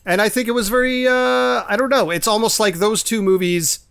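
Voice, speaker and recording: A man's voice: high voice, normal speed, gravelly, a bit bright, clean tone, no room sound, clean studio sound. A man co-hosting a podcast, discussing films casually and informally, with some swearing. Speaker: podcast co-host.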